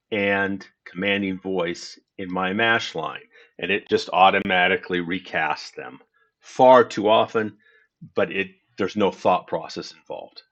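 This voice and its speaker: A man talking through his game setup in a relaxed walkthrough, explaining step by step and correcting himself as he goes. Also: low voice, lo-fi sound. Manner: unhurried and explanatory, with frequent self-corrections. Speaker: gaming tutorial host. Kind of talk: casual screen-recorded game walkthrough.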